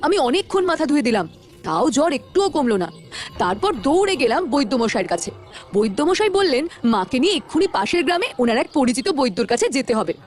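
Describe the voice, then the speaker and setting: A woman's voice: high-pitched, sing-song, fast talker, soft background music. A woman voicing a worried, cautious village boy in a cartoon, speaking in an earnest, explanatory way. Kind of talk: Bengali village cartoon dialogue.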